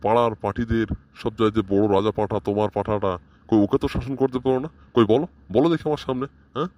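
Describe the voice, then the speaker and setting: A man's voice: on the low side, fairly even intonation, quick pace, highs cut off, natural voice. Man as a blustering cartoon village father. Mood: mocking, grumbling. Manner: blustering, grumbling. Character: irate, blustering pot-bellied village father in a comedy cartoon. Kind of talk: comedy cartoon dialogue.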